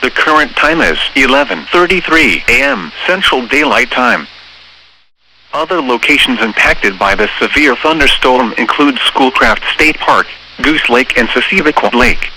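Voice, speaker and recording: A synthesized male voice reading scripted emergency weather warnings in a formal, flat manner as a radio announcer. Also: medium pitch, unhurried, vocal fry. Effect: synthesized text-to-speech voice. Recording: noisy weather radio broadcast.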